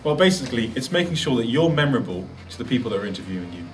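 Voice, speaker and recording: A man's voice: medium pitch, sing-song, rapid-fire, far from the mic, music in the background. A man giving an instructional presentation, as a presenter of practical advice. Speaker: presenter giving interview tips.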